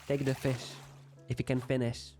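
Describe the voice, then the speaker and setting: Brief: young male streamer, mid pitch, loose self-deprecating chatter, light music underneath. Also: flat delivery, normal speed, warm tone. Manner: rambling, playful, self-deprecating. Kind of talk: casual chat while gaming.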